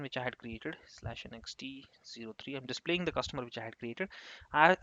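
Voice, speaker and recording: A man's voice: mid-range voice, a bit bright, dry recording. Man narrating a tutorial, dull lo-fi sound. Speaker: software instructor. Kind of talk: screen-share tutorial narration, unscripted. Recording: dull, lo-fi close microphone.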